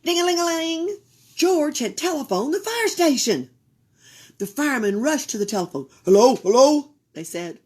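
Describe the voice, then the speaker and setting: A woman reading a children's picture book aloud for a library storytime, expressive and sometimes dropping into a whisper. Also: high voice, moderate pace. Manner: expressive, drops into whispers for suspense.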